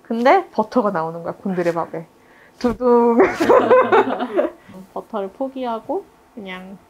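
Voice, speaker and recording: A woman leading a casual group discussion, chatty and telling anecdotes in an animated way. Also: fairly high pitch, takes it slowly, muffled recording, crowd noise. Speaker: interviewer and project member leading the discussion.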